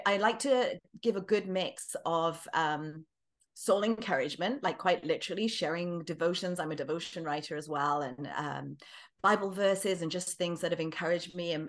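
A woman's voice: on the low side, fairly bright tone. A middle-aged woman with a British accent, a guest author chatting on a podcast interview, laughing often and searching for words.

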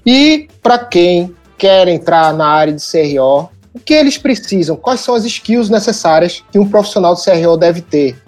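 Brazilian Northeastern male host, mellow tone, lively and explanatory. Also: high-pitched, normal speed, few pauses, soft background music. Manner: upbeat, explains step by step and prompts guests. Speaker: podcast host. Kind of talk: casual podcast chat.

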